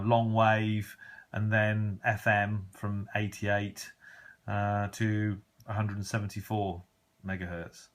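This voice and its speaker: A middle-aged British man with a fairly low voice presents in an informal, unscripted way. He is conversational and reflective, pausing and hesitating as he searches for his words. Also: a bit bright. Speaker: hobbyist presenter. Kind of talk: casual unscripted show-and-tell.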